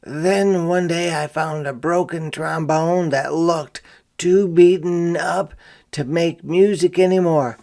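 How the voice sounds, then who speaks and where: A man reading a picture book aloud to young children as a teacher, phrasing deliberately and pausing to explain words simply. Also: on the high side, fairly even intonation, a little mellow. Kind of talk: interactive children's read-aloud with explanatory asides.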